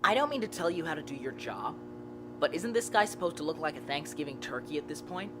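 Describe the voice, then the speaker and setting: Man acting a fast-talking, cocky boy sidekick. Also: on the high side, sing-song, over music. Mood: sarcastic. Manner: flippant, wisecracking. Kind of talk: fan audio drama acting.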